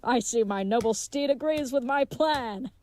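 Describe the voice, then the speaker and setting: A woman's voice: a little high, measured pace, dark tone, lightly acted. A woman playing a gallant, dim and earnest leathersmith turned would-be knight, with pompous, flowery speech in a comedic audio drama. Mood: bold, boastful.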